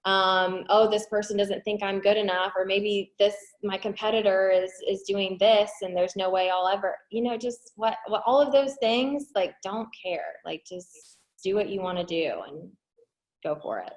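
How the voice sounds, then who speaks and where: A woman's voice: mid-range voice, moderate pace, low-quality recording. A woman from the United States answering as an interview guest in a candid, earnest, casual conversational way. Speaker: interview guest, wedding planner.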